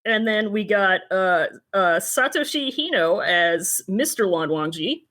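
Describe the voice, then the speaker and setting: A woman in her thirties chats casually as a podcast co-host. She has a mid-pitched voice and a wry, sweary manner, often stalling as she hunts for the next word. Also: lively intonation, unhurried, fairly bright tone. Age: 30s. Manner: sweary, wry, hesitant searching delivery. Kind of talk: casual chat about anime and voice actors.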